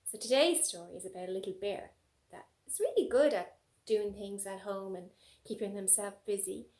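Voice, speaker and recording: A woman reading a story aloud as a preschool teacher, with coaxing, animated asides and questions for young children. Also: medium pitch, normal speed.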